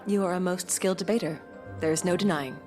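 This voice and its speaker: A woman playing a calm, formal woman who speaks politely and with measured logic. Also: lowish voice, over music, natural voice.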